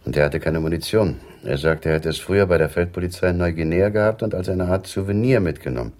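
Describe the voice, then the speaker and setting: An actor playing a conscientious police officer reporting in a matter-of-fact way in a radio drama. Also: male voice, low voice, quick pace, a little mellow, natural voice. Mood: earnest, deferential.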